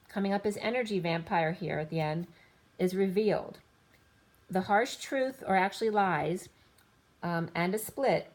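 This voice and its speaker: A woman giving a rambling tarot reading, listing cards in loose fragments, her voice going a little hoarse. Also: medium pitch, a little mellow. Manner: rambling, lists card after card in loose fragments. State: slightly losing her voice. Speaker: tarot reader.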